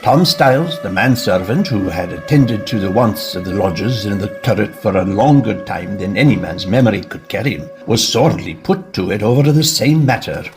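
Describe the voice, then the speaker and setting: A man narrating a literary story from a script in a measured, formal manner. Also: on the low side, lively intonation, music in the background.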